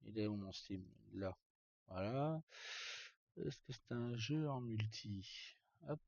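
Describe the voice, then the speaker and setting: A man's voice: on the low side, lively intonation, takes it slowly, gravelly, darkish tone, highs cut off. A man streaming a game, chatting informally and muttering asides as he plays. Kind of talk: casual chat while playing.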